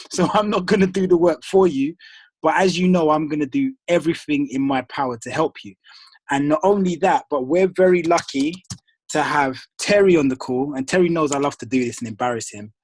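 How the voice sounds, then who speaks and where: A man's voice: on the high side, moderate pace, darkish tone, breathy edge, dry recording. A man hosting a group call, reading names and firing up his team in a casual, motivational way. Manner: casual, calls people bro and dude.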